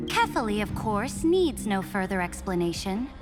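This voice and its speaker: An actress playing a cold, commanding, defiant young empress in a game, with curt, regal, archaic phrasing. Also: female voice, medium pitch, big pitch swings, a little slow, loud music behind the voice. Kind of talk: scripted game dialogue.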